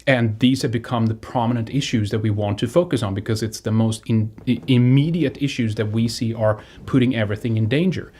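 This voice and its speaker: A man's voice: mid-range voice, brisk, hardly any pauses, mellow, slightly rough. A man from Sweden, a native Swedish speaker, hosting an online show and making an unscripted appeal to viewers, often repeating and restarting his words.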